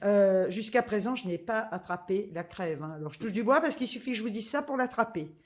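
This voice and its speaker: A woman's voice: medium pitch, animated, fairly quick. A woman talks through her shopping haul for her viewers. She rambles casually, dropping fillers and asides between prices. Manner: chatty, lots of fillers and asides. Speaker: vlogger. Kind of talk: casual grocery haul vlog.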